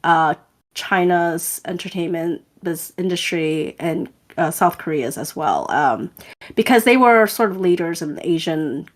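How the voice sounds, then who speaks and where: Chatty woman podcast co-host, lower voice. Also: animated, measured pace. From Hong Kong. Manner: chatty, rambling with fillers and restarts. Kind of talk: casual podcast chat.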